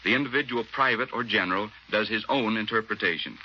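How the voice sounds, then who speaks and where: Very forceful, low-voiced American army officer. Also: male voice, fast. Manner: clipped, matter-of-fact. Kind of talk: scripted 1940s radio interview. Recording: muffled 1940s radio, faint noise.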